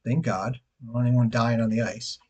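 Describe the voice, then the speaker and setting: A man's voice: medium pitch, steady tone, a little fast, a little mellow, dull audio. A man in his forties from Detroit, chatting casually to camera as a travel vlogger, rambling with restarts and the odd chuckle. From Detroit, USA. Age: 40s.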